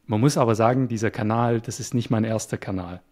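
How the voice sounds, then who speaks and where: Calm man explaining his work. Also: fairly low pitch, brisk. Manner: calm, explanatory, some fillers. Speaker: guest, web developer. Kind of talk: casual interview chat.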